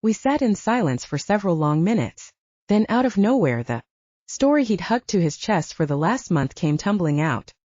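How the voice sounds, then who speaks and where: A woman narrates an audiobook story in a relaxed, dark-toned voice. Her pitch moves around a lot, and the recording is very dry and a little dull. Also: moderate pace, dry recording. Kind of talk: scripted fiction narration.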